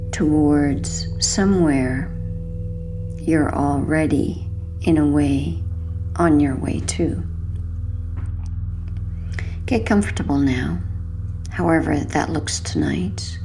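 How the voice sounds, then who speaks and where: Female hypnosis guide, low and slightly gravelly, soothing, hushed delivery for bedtime relaxation. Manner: soothing, hushed, unhurried with long pauses. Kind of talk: guided sleep hypnosis narration.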